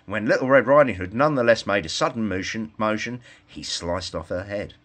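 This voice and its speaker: A man reading a scripted satirical fairytale as storyteller, in an expressive storybook manner. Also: fairly low pitch, a little fast.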